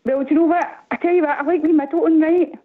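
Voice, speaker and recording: A middle-aged woman with a Glaswegian accent calling in, rambling and animated, often asking "do you know what I mean". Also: high-pitched, quick pace. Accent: Glaswegian Scottish. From Glasgow, Scotland. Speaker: caller. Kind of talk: casual phone-in chat. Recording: tinny phone line.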